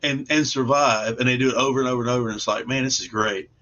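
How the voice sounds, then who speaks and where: A man's voice: medium pitch, fairly even intonation, quick pace, crowd noise. Casual man on dull lo-fi audio. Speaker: guest comic book artist. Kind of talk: casual chat.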